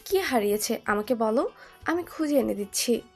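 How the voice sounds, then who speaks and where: A woman's voice: fairly high pitch, animated, moderate pace, a little mellow, breathy edge. A woman playing an anxious mother of a grown son in an audio story, fretful and coaxing. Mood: worried. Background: background music.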